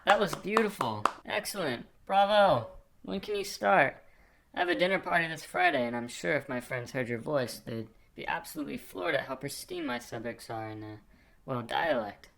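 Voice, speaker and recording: A man's voice: high voice, big pitch swings, moderate pace, gravelly, a bit bright, exaggerated voice. A man playing a crass, self-absorbed king in a puppet film, talking in a casual, rambling, condescending way. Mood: gushing, condescending. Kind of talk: puppet film character acting.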